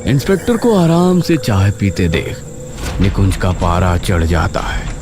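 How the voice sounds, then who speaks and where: A man with a low, lively voice narrates a horror cartoon in an expressive storytelling manner. Loud background music runs beneath his narration. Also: measured pace.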